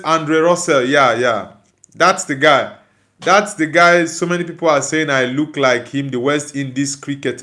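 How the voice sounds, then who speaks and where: A man's voice: a little high, pushed and bright. A man with a Nigerian accent hosting a casual football livestream, chatting and responding to viewers. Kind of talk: casual live chat, reading and answering viewer comments.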